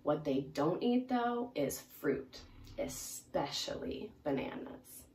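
A young adult woman presenting a picture-book read-aloud in an expressive, emphatic way for young children. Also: low voice, slow. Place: small indoor room, seated in armchair. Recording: roomy, distant mic, faint noise.

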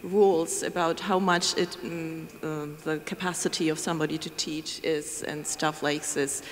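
A woman's voice: sits low, steady tone, moderate pace. A woman in her fifties speaking as a panelist in a discussion, into a handheld microphone. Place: large conference room with audience. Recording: echoey hall with faint room noise.

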